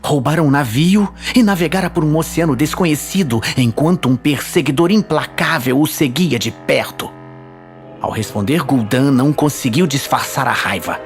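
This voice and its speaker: A man with a Brazilian accent reading scripted fantasy narration in a dramatic storytelling style. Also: a little high. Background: faint music.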